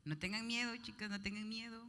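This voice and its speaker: A woman's voice: mid-range voice, steady tone, a little fast, pushed and bright. A woman informally moderating a question session for an audience, chatty and encouraging. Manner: chatty, encouraging, uses diminutives. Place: large hall at a conference. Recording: reverberant hall sound.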